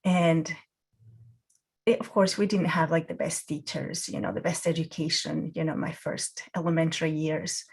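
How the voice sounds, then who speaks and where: A woman's voice: low voice, fairly even intonation, normal speed, creaky, slightly rough. Reflective woman teaching mindfulness. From Peru. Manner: reflective, many fillers and restarts. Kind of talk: guided mindfulness talk.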